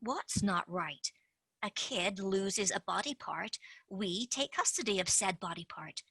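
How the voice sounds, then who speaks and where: A woman playing a pushy, scheming young female tooth fairy agent in a stage play, fast-talking and dropping into conspiratorial whispers. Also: medium pitch, bright, forceful tone, slightly rough, no echo, exaggerated voice. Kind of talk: amateur stage-play acting.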